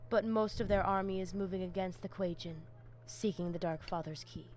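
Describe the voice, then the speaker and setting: A woman's voice: mid-range voice, fairly even intonation, a little fast, darkish tone, faint music behind, lightly acted. Woman as solemn vampire pack leader. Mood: reassuring. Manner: grave, formal, stately phrasing. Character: solemn Spanish-speaking female vampire pack leader, fierce and loyal. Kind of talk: dramatic video game acting. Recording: clear background ambience.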